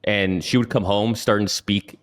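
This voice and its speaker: A man's voice: fairly low pitch, fairly even intonation, moderate pace. A man from Chile who grew up in the US, a guest in a casual podcast chat, talking in an easygoing way.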